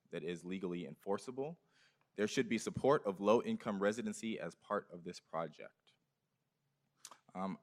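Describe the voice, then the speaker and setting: A man giving public comment at a meeting, reading petition comments aloud in a firm way with frequent ums. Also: lowish voice.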